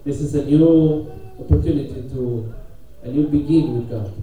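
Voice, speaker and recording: Spanish-accented preacher, echoey and distant. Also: male voice, fairly high pitch, dark tone. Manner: halting, simple English, questioning the congregation. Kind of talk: interactive sermon with pauses for an interpreter. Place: church hall with congregation, handheld microphone through speakers. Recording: echoey room, distant camera pickup, congregation noise.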